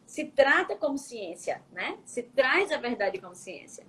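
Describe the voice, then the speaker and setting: A woman's voice: a little high, sing-song, measured pace, a bit bright. A woman hosting an interview, who asks questions and often adds tag questions to agree with her guest. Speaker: host interviewer.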